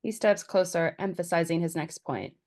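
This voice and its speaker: A woman reads scripted stage directions as the narrator of a table read. Her voice sits a little low for a woman. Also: moderate pace, darkish tone.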